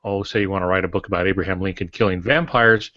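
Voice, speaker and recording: A man's voice: deep voice, steady tone, fast, pushed and bright, no room sound. A man co-hosting a podcast, giving casual writing instruction in an advisory way with short maxims. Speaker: podcast co-host and writing teacher. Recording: dry, dull lo-fi podcast audio.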